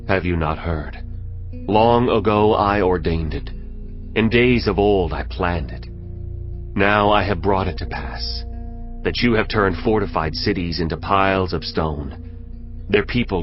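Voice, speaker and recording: Commanding ancient prophet, very low voice, solemn oracle, stern, audio drama, faint music behind. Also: male voice, dull audio. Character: stern, authoritative male prophet proclaiming divine judgement. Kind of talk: dramatised audio Bible acting.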